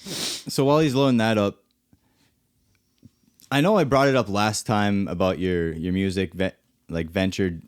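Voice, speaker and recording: A man's voice: fairly low pitch, measured pace, long pauses, clean tone. A young man hosting a casual chat who asks questions and teases bluntly. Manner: blunt, teasing.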